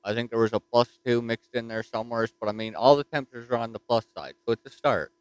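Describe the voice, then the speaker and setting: A man with a Newfoundland accent chatting casually as a ham radio operator from his home station, relaxed and easygoing. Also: mid-range voice, quick pace, smooth, no room sound, low-quality recording. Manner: easygoing, says "roger that".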